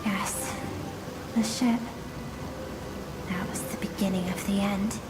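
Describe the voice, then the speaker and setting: A woman's voice: medium pitch, steady tone, lightly acted. Echoing, solemn storytelling woman, acted over game music. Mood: wistful, ominous. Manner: measured, somber delivery. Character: grave, sorrowful woman recounting a tragic past. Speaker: character voice in a video game. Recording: echoey long reverb with game music behind.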